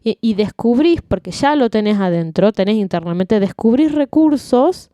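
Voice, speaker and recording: A woman, a singing teacher co-hosting a podcast, talking in an animated, emphatic, candid way. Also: fairly high pitch, moderate pace, no echo. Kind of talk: casual chat.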